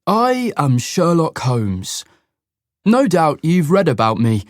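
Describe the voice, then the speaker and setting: A man reading the part of a proud, clever detective slowly and carefully for learners. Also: fairly high pitch, wildly swooping pitch, no room sound, studio quality, exaggerated voice. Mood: self-assured. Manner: slow, measured, self-assured.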